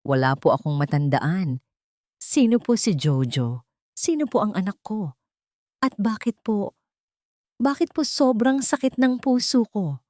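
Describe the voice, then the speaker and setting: A woman's voice: mid-range voice, animated, measured pace, pauses often, darkish tone, dry recording, studio quality, pitched above the performer's own voice, lightly acted. A woman voicing a frightened, innocent young woman in an audio drama, faint, polite and bewildered. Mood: frightened, pained. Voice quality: faint, whispery.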